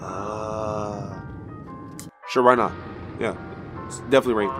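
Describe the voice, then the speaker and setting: A woman's voice: rumbling low, slow pace, over music. A woman playing a wisecracking, self-deprecating teenage student and secret vigilante in an audio drama. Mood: awkward. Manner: wry, animated, self-deprecating.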